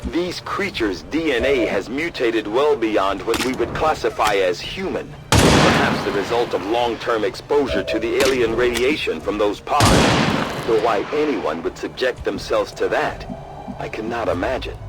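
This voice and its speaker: A man playing a fastidious scientist in a video game, explaining things in precise, formal, pondering phrases. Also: fairly low pitch, lively intonation, over loud music, lightly acted. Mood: puzzled, disdainful. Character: fastidious, disdainful male scientist. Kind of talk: expository video game acting. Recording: noisy battle ambience behind.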